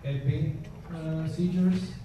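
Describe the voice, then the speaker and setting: A man from Croatia with a Croatian accent, speaking English as a second language and giving a conference presentation, halting often with fillers and restarts. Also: fairly high pitch, steady tone, noisy background. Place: conference hall. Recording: echoey hall, voice far from mic.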